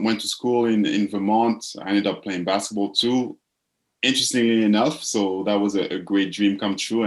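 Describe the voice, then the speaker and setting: A man from the Congo, a purpose coach, talking as a guest in a relaxed interview, thoughtful and often repeating words as he searches for phrasing. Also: on the low side, low-quality recording. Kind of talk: casual interview talk.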